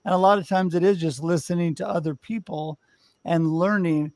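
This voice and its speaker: A man's voice: a little high, steady tone, normal speed, a little mellow, no echo, studio quality. A man hosting a talk show over a video call, upbeat and encouraging as he wraps up with his guests. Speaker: show host. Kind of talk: casual interview hosting.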